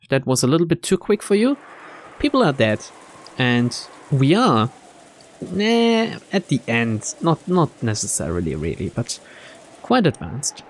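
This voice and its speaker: A man's voice: mid-range voice, huge pitch swings, unhurried, slight background noise. A man hosting a let's-play, reading game text aloud and commenting casually. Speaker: gameplay host.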